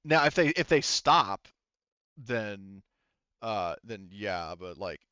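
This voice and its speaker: A man's voice: on the low side, very animated, takes it slowly, pushed and bright, smooth, no echo, dull audio. A man acting as game master, narrating a tabletop roleplaying game in a casual, hesitant way. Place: indoors, online voice session. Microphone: headset.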